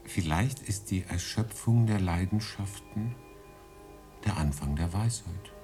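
A thoughtful gentleman in a radio drama. He speaks politely and reflectively in a deep, dark voice, with faint music behind. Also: a little slow. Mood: curious, reflective. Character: thoughtful, inquisitive English gentleman.

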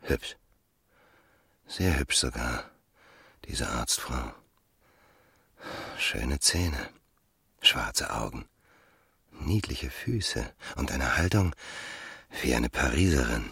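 Very deep, husky, calculating seducer. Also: male voice, expressive, extremely slow, long pauses, sharp tone, no echo, studio quality, heavily acted. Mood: scornful musing. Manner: suave, self-assured, musing. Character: ruthless, shrewd landowner of thirty-four, calculating seducer. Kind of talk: radio drama acting.

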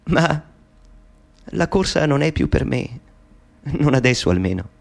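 A man's voice: fairly high pitch, very animated, measured pace, creaky, full character voice. An actor playing a middle-aged man speaking an intimate, wistful monologue to his mother in a radio play. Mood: reflective.

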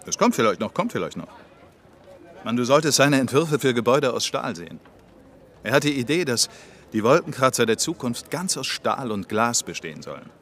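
A man's voice: mid-range voice, very animated, a little fast, a bit bright. A man playing a chatty, enthusiastic office worker in a naturalistic radio drama, speaking eagerly and colloquially. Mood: admiring.

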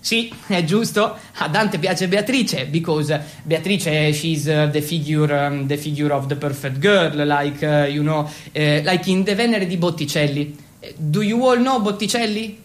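A man's voice: fairly high pitch, natural voice. A man with an Italian accent playing an awkward, feverish teenage boy in a theatrical first-person monologue. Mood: nervous, fumbling. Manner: halting and fumbling when speaking English.

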